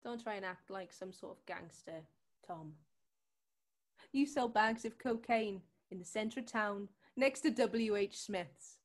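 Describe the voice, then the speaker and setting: A woman's voice: medium pitch, measured pace, natural voice. A woman acting in a drama scene as a worried, determined sister, insistent and scornful, with a British accent. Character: worried, determined sister confronting a drug dealer. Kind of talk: acted scene from a drama showcase.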